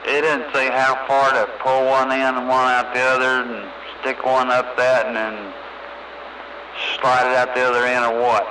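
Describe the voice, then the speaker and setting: Folksy man, mid-pitched, casual radio chat, clear static and hum throughout. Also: little pitch movement, moderate pace, pushed and bright. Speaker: CB radio operator. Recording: noisy CB radio transmission.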